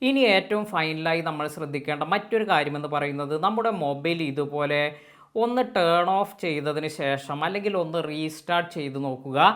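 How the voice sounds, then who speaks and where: A man's voice: a little high, a bit bright. Patient man explaining phone fixes. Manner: patient, explains slowly in detail for older viewers. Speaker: tech tutorial host. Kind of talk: step-by-step phone tutorial narration.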